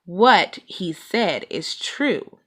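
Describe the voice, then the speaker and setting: A woman voicing a sensible, motherly mama bear in a children's storybook, firm and gently reproving. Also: lowish voice, lively intonation, extremely slow, husky, exaggerated voice.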